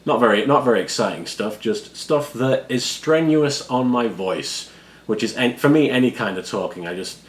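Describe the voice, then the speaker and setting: Hoarse man rambling through an unboxing. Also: medium pitch, normal speed. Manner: self-deprecating, rambling, quiet-natured. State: hoarse, froggy voice after studio work. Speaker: YouTuber. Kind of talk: casual unboxing vlog. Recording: echoey room with faint background ambience.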